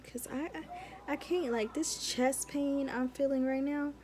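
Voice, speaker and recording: A woman's voice: high voice, fairly even intonation, unhurried, noisy background. Casual young woman chatting vlog-style. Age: young adult. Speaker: law student vlogger.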